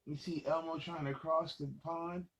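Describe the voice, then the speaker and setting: A man's voice: fairly high pitch, flat delivery, normal speed, a bit bright. A man reading picture books aloud to children as a host, in a casual, conversational way with asides to the young listeners. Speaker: children's story reader and host.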